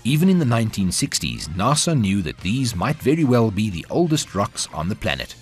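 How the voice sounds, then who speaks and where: A man narrating a television documentary in a measured, scripted style. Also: lowish voice, big pitch swings, soft background music. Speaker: program narrator.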